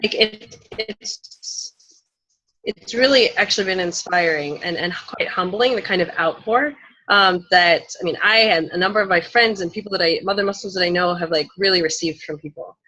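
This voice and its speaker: A woman's voice: lowish voice, moderate pace, pushed and bright, rough recording. A woman speaking informally and conversationally as a panelist, often dropping "like" into her sentences. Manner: informal, frequent use of "like". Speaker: panelist, community organizer. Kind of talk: conversational panel discussion answer.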